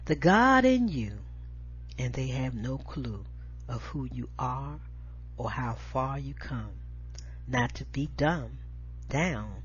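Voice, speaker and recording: A woman reciting spoken-word poetry as a show host, with emphatic, dramatic, deliberate phrasing. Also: very deep voice, dull audio, slight background noise.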